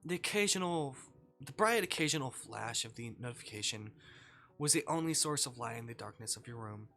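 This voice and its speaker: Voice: medium pitch, expressive, brisk, sharp tone, soft background music. A streamer of ambiguous gender reading a visual novel aloud as dramatic live narration, sometimes stumbling over words. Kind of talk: live narration of a story game, read aloud with character voices.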